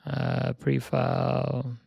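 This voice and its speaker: A young man live-streaming a flight sim session, chatting casually and thinking aloud as he sets up, his voice slightly hoarse. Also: medium pitch, monotone, extremely slow, a little mellow, no echo, studio quality. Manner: repeats "yep" while checking items.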